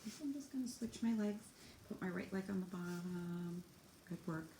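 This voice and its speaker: A woman with a slightly low, somewhat mellow voice leads a stretching class for children. She gives simple, encouraging instructions one step at a time while demonstrating. The recording is clearly echoey, as in an indoor room. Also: normal speed. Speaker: teacher leading a children's yoga stretching class. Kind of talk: instructional exercise guidance, demonstrating while talking. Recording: echoey room, faint background noise.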